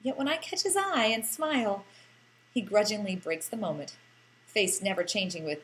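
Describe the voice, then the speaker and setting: A woman's voice: medium pitch, lively intonation, moderate pace, fairly bright tone, low-quality recording. A woman narrating a first-person story like an audiobook, with a theatrical, lilting delivery and lingering phrases.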